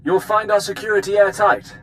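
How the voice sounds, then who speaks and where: High-pitched, officious Imperial officer. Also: male voice, flat delivery, a little fast, fairly bright tone, a little hiss or hum, low-quality recording. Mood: flustered. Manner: clipped and formal, turning flustered and fawning. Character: officious, nervous male Imperial station officer. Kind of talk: dramatic video game dialogue.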